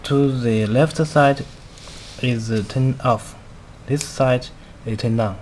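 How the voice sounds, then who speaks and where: A man who speaks English as a second language, giving a halting step-by-step product demonstration in short, simple phrases. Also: medium pitch, steady tone, a little mellow. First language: not a native English speaker. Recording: clearly noisy amateur recording.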